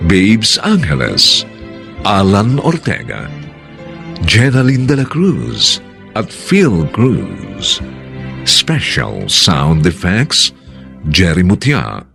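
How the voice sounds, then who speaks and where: A man's voice: on the low side, creaky, a bit bright. A man with a Filipino accent narrating and announcing a radio drama in a dramatic scripted style. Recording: music under the voice. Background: background music.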